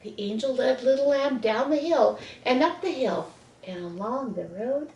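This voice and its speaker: A woman reading a children's bedtime story expressively, with dramatic pauses. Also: high-pitched, lively intonation, vocal fry, darkish tone, dull audio. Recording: echoey room, distant mic.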